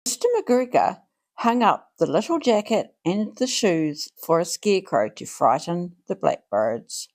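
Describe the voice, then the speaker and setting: A woman reading a children's storybook aloud in an expressive, deliberate way, drawing out her phrases for young listeners. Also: fairly low pitch. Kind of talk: read-aloud storybook narration.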